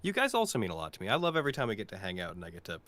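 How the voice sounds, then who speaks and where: Low-voiced man, earnest and rambling. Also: huge pitch swings, racing, fairly bright tone. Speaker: cast member of an audio drama. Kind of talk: casual heartfelt panel chat.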